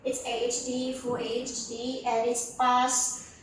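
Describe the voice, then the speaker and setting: High-voiced woman in an echoey showroom. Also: little pitch movement. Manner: simple halting phrasing with repetitions. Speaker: company sales representative giving a showroom tour. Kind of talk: spoken product presentation, showroom walkthrough. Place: indoor product showroom. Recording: echoey room, distant mic, faint noise.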